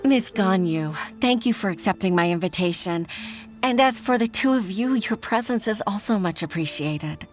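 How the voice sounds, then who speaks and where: A woman's voice: mid-range voice, expressive, normal speed, natural voice. A woman playing an earnest, humble female official in an anime game dub, speaking in a respectful, formal and slightly hesitant manner. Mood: earnest, admiring. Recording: music behind.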